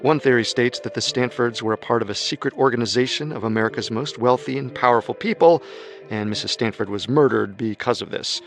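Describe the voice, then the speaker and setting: A man's voice: medium pitch, a little fast, soft background music. Man narrating true crime. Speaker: narrator and co-host.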